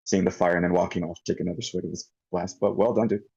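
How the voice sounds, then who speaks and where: A man with a very low voice offers casual critique in an online meeting. He is hesitant, feeling out his thoughts aloud. The audio is dull and lo-fi. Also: fairly even intonation, fast, vocal fry, warm tone, breathy edge. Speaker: participant giving script feedback.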